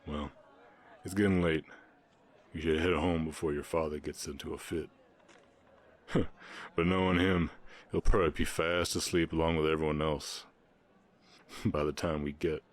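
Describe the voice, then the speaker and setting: Man voicing a very deep Southern orc ranch hand. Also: fairly even intonation. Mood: tender, relieved. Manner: halting, sighing, earnest. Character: gentle, reserved orc ranch hand in love. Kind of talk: intimate ASMR romance roleplay, Western setting.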